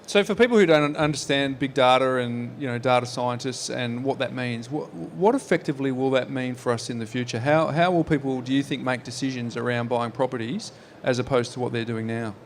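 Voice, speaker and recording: A man's voice: medium pitch, a little fast, few pauses, slight background noise. A man co-hosting a live podcast on an expo stage, mixing jokes with questions. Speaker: podcast co-host.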